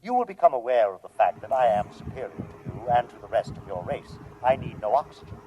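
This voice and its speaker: An actor playing a coldly logical, superior alien humanoid robot with precise, formal diction in 1950s radio style. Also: male voice, mid-range voice, lively intonation, fast talker, natural voice. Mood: proud, clinical. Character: haughty male humanoid robot from another planet, coldly logical. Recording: dull, noisy vintage 1950s radio recording.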